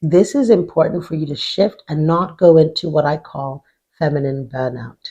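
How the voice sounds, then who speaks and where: A middle-aged woman from Nigeria speaking as an executive coach, giving deliberate, emphatic motivational advice in an instructive way. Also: low voice, mellow, husky, lo-fi sound. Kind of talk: motivational advice talk to camera.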